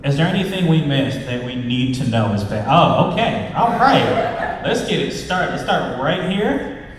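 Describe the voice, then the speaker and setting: Man, medium pitch, heavy hall echo, distant from mic, casual panel host. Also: sing-song, measured pace, slight background noise. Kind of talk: casual fan convention panel talk. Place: large convention panel room.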